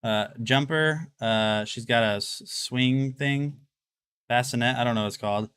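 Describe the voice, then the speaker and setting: Young guy rambling on a home livestream, bright forward voice, dry sound. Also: mid-range voice, unhurried, clear, resonant tone, no room sound. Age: 20s. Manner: rambling, chatty, addresses chat. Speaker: livestream host. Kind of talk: casual livestream chat. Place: home, walking through rooms.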